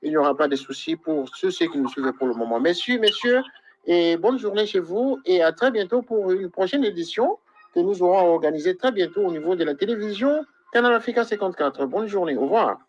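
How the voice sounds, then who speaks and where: A man's voice: sits high, expressive, a little hiss or hum. Formal male news journalist, muffled sound. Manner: formal, fills pauses with "euh". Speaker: journalist host. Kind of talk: news interview hosting.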